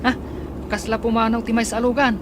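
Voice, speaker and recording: A man's voice: very high-pitched, flat delivery, a little fast, background noise. A man with a Filipino accent playing a deferential, alert driver in a radio drama. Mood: attentive.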